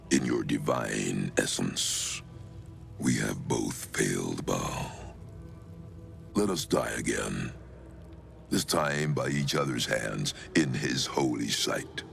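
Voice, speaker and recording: A grim undead cult elder from a fantasy game, rumbling at the very bottom of the range. The voice is heavily gravelled and slow, pronouncing murderous doctrine in solemn, archaic phrases over faint music. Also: male voice, a bit bright, exaggerated voice. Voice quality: very deep, gravelly. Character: dread undead male cultist elder, grim, murderous zealot. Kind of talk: dramatic fantasy game acting. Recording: music behind the voice.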